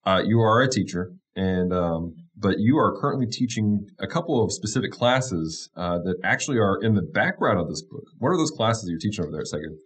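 A man in his thirties, a pastor hosting a casual chat show, upbeat and quick to laugh, close to a studio mic in a small wood-paneled room. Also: on the low side, animated, husky. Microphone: studio mic on boom arm, close.

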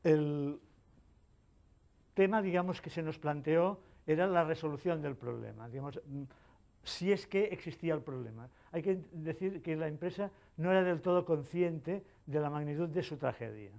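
A man's voice: on the high side, moderate pace, vocal fry, a little mellow, lo-fi sound. A man from Spain giving a technical conference talk in a hall, hesitant, with many fillers and restarts. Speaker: conference speaker.

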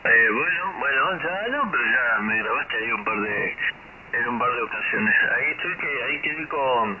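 A man's voice: medium pitch, expressive, moderate pace, a crowd in the background. A man, chatting casually as an amateur radio operator over shortwave. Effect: shortwave radio transmission. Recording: muffled shortwave radio with loud static.

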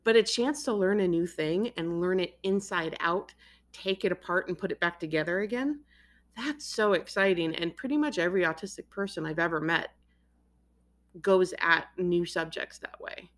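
A woman's voice: lowish voice, moderate pace. A woman hosting an interview, asking questions and explaining ideas at length with enthusiasm. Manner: enthusiastic, talks in long explaining runs. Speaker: interviewer, host. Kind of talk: casual interview chat.

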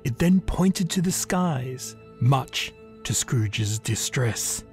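A man narrating a literary story as a scripted reading, measured and deliberate. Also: medium pitch, lively intonation, darkish tone. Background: music.

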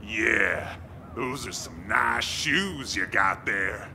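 Crazed vagrant brawler, comic audio drama. Extremely rough fry, piercing edge, lewd and menacing. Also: male voice, lowish voice, expressive, very slow, sharp tone, slight background noise, heavily acted. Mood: leering. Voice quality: gravelly, harsh. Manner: leering, snarling.

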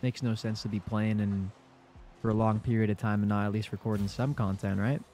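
A man's voice: lowish voice, steady tone, a little fast, dark tone, faint music behind. A young man with a congested voice giving casual commentary over his own gameplay in a laid-back play-by-play. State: congested from a cold. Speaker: gaming YouTuber.

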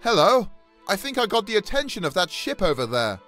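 High-pitched earnest stranded man, acted out by a man. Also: very animated, brisk, pushed and bright, faint music behind, exaggerated voice. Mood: earnest, calling out. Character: helpful, earnest stranded man calling out to survivors. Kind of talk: video game character voice lines.

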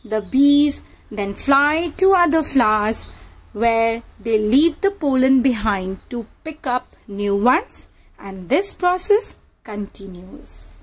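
A woman's voice: fairly high pitch, lively intonation, takes it slowly, poor audio. A woman narrating a children's read-aloud book in a teacherly manner, addressing young listeners directly.

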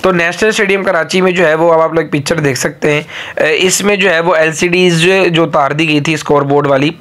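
A man with a Pakistani accent and a slightly high voice narrates an informal update for his channel. He speaks haltingly, leaning on repeated filler phrases as he goes. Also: steady tone, a bit bright. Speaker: YouTube channel host.

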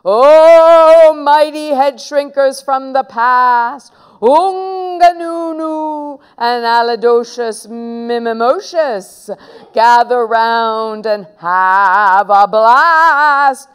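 A woman's voice: sits high, very slow, clean tone, pitched above the performer's own voice. A woman voicing a mischievous, boastful young boy from a children's storybook in a theatrical, taunting way. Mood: grand chanting.

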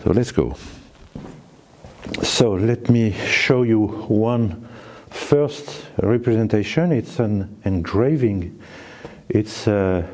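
A man in his sixties with a French accent, a cave owner giving a guided tour to camera, talking slowly and haltingly with many fillers. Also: on the low side, expressive, darkish tone. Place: forest outdoors, then inside a small cave.

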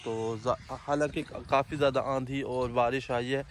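Man in his thirties, Punjabi accent, relaxed vlog commentary, phone at arm's length, faint outdoor noise. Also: mid-range voice, normal speed. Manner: relaxed explaining while walking, hand gestures. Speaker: vlogger. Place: outdoors by wheat fields. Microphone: phone held at arm's length.

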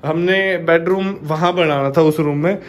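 A man's voice: fairly high pitch, steady tone, measured pace. Young man chatting on a house tour. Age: young adult. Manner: chatty, addresses viewers as "bhai". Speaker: vlogger. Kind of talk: casual house tour vlog. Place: indoors in a family home, walking room to room. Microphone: handheld action camera.

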